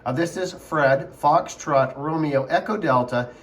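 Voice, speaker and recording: A man's voice: fairly high pitch, unhurried. A man chatting casually as an amateur radio operator, repeating himself and spelling call signs phonetically. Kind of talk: casual ham radio contact.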